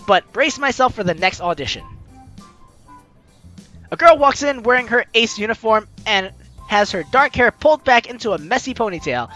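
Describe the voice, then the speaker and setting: A playful, slangy gaming host reading a visual novel aloud and reacting in an animated way. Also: mid-range voice. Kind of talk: lively let's play, reading aloud and reacting. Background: game music.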